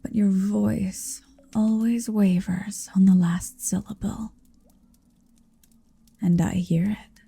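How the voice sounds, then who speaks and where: A woman voicing a possessive, seductive motherly dragon in an intimate ASMR roleplay, breathy and whispery, speaking slowly and deliberately close to the microphone. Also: mid-range voice, steady tone, dark tone, heavily acted. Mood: tender, teasing. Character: possessive, seductive motherly female dragon hoarding her captive. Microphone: close microphone, dry.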